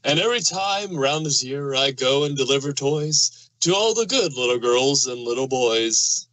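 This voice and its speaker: Man acting as jolly old Santa Claus, bright and forceful, hearty, kindly, theatrical storybook verse, dry sound. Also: medium pitch, expressive, normal speed, no echo, natural voice, low-quality recording. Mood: earnest, troubled. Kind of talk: rhyming storybook fan fiction reading.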